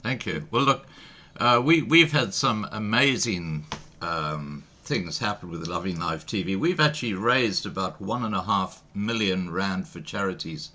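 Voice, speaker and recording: A man's voice: low-pitched, lively intonation, measured pace, sharp tone. A man from East and South Africa hosting a live interview, speaking frankly and often repeating words as he goes. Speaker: talk show host.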